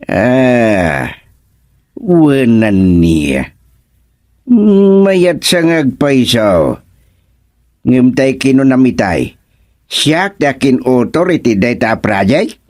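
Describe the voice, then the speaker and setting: Man playing a slow, swooping older Ilocano villager. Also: mid-range voice, very animated, a little mellow. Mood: doubtful. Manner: drawling, repeats words and interjections. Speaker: radio drama actor. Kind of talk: comedic radio serial dialogue.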